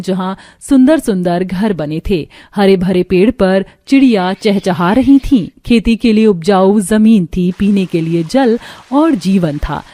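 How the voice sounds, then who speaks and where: A woman's voice: mid-range voice, warm tone, dry recording. A woman reading scripted storybook narration for a cartoon tale.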